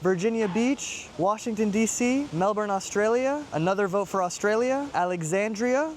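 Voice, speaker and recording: A man's voice: high voice, normal speed, slight background noise. An upbeat, chatty young man from New York, a vlogger and yoga teacher whose first language is Hebrew, talking casually to camera. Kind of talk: casual vlog talk to camera.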